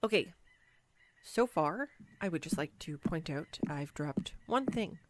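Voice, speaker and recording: A woman playing a clumsy, nervous, giddy young woman on a first date in a romantic audio roleplay, rambling awkwardly and self-deprecatingly with halting stumbles. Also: sits low, expressive, slight background noise. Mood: self-deprecating, upbeat. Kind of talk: scripted romantic audio roleplay addressed to the listener.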